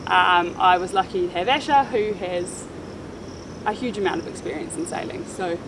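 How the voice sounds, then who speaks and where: A woman's voice: lowish voice, moderate pace, noisy background. A woman in her thirties from New Zealand with a Kiwi accent, chatting casually about her sailing life with many ums. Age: 30s. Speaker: sailing vlogger. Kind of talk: casual chat. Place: outdoors on a boat in Panama.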